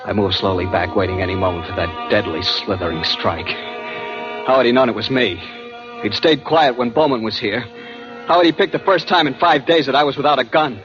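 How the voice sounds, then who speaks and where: An actor playing a haunted young adventurer in an old radio thriller, with dramatic, suspenseful, deliberate delivery. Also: male voice, mid-range voice, lightly acted. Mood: mounting dread. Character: haunted, guilt-ridden young adventurer narrating his terror at sea. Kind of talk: 1940s-50s radio drama narration and acting. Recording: dull vintage radio recording with prominent music.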